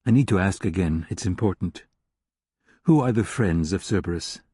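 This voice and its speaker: A man voicing a persistent woman investigator who presses a source with insistent questions, husky-voiced. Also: low voice, normal speed, warm tone, dry recording, clean studio sound.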